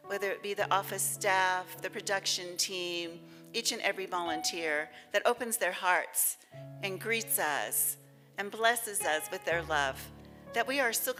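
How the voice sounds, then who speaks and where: Woman praying at a church service, really slow and reverent, bright clear tone, bit of music under her. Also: medium pitch. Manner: reverent, deliberate, with long pauses. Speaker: reader and prayer leader at a church service. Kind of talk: inspirational reading and spoken prayer.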